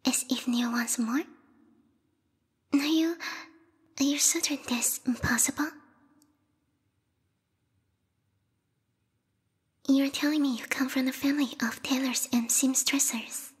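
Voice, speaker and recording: A woman voicing a lethal yandere vampire bat girl, a queen's knight with formal, theatrical speech, in a breathy whispered ASMR roleplay. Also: sits high, steady tone, normal speed. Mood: grateful, hopeful. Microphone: close binaural microphone. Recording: reverberant hall-like echo.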